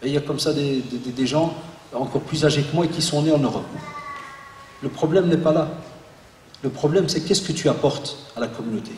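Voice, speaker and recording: A man in his forties, born in Belgium, lectures an audience in a lively way and jokes with them. His voice sits a little high and comes from some way off the microphone. The sound rings with the long echo of a large hall. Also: slight background noise. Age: 40s. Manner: animated, joking with the crowd. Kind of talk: lively religious talk to an audience. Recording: echoey hall, voice from far off.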